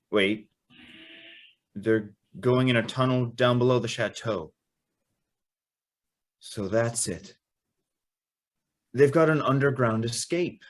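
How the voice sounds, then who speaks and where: An actor playing an earnest, wistful young man in a radio drama, measured and reflective with halting phrases. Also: medium pitch. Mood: tense, watchful. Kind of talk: radio drama acting and narration.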